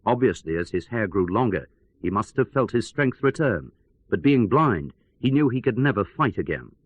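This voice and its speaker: Guy acting as a radio reporter telling a story, wry n theatrical, bit husky, really up and down intonation. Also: fairly low pitch, big pitch swings, moderate pace, no room sound, muffled recording. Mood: wry, dramatic. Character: wry male radio correspondent recounting events as news. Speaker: news correspondent.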